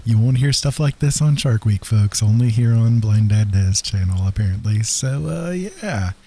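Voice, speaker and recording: A man's voice: medium pitch, animated, a little slow, warm tone, breathy edge. A man hosting a casual livestream, rambling and hesitant as he chats to viewers. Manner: rambling, hesitant, chuckling asides. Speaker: livestream host.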